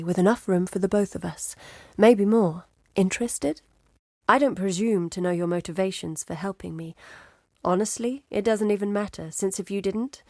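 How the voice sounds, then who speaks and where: Casting: actress as inquisitive young female scholar-explorer for a video game; earnest, measured, mid pitch, close dry recording. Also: dark tone, dry recording, close to an everyday voice. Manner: articulate, measured, thoughtful. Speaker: game character, scholar and map researcher. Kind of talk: scripted video game dialogue.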